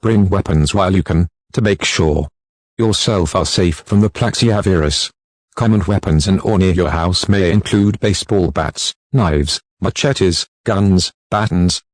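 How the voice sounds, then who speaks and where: Low-voiced man reading an emergency alert. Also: darkish tone, dry recording. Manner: formal, measured, impersonal. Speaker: emergency broadcast announcer. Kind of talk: scripted emergency alert narration.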